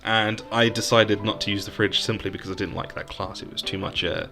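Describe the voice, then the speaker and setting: A man's voice: fairly low pitch, flat delivery, fairly quick, music in the background. A man from the UK co-hosting a casual podcast, rambling through stories about his old game characters with plenty of "um"s. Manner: rambling, storytelling, frequent "um". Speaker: podcast co-host. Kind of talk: casual chat about tabletop games.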